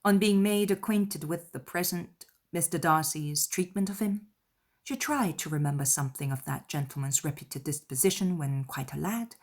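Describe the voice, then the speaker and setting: Woman, audiobook narrator, slightly low pitch, measured, expressive, scripted literary storytelling.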